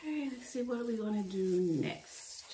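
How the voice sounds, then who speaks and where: A woman's voice: fairly high pitch, normal speed, warm tone, far from the mic, slight background noise. A woman hosting a cooking channel, chatting in a homey way with her viewers as she preps food in her kitchen. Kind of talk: casual meal-prep chat while cooking. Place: home kitchen. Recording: echoey room, mic set back from her.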